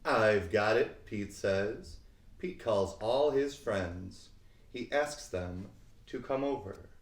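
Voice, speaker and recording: Slow, very animated male storyteller in echoey room. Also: lowish voice. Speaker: read-aloud host. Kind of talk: expressive children's picture book reading. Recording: roomy, echoing sound.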